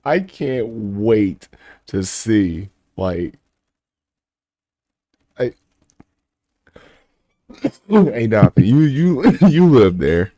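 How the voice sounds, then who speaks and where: A man's voice: fairly low pitch, sing-song, very slow, pauses often, mellow. A man bantering casually like a streamer reacting to videos, blunt, teasing and slangy, with some swearing.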